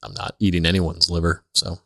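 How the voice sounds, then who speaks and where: A man's voice: deep voice, level tone, fairly quick, creaky, a bit bright, dry recording, studio quality. A man co-hosting a podcast with casual, irreverent comedic banter. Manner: irreverent, swears freely. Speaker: podcast co-host.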